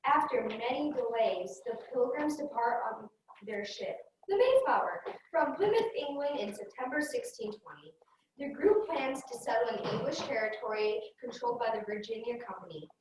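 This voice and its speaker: High-voiced woman narrator, very echoey room. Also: expressive, moderate pace. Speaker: narrator of a readers theater play. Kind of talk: scripted read-aloud narration with acted dialogue. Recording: echoey room, distant mic, faint noise.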